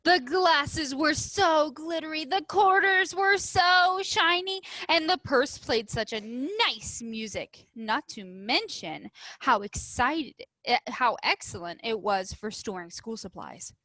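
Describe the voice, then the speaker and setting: A woman reads to young pupils in a fairly high, bright voice with a dry, close sound. She is emphatic and instructive, shaping the story expressively as a teacher would. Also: lively intonation, slow, no echo, poor audio. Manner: emphatic, instructive, addressing children. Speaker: elementary school teacher. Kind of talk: expressive storybook read-aloud with questions to pupils.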